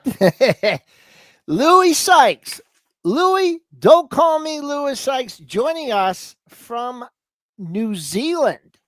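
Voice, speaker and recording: A man hosting a casual live market show, lively and playful, asking long rambling questions. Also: very high-pitched, very slow, dry recording, clean studio sound. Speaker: show host.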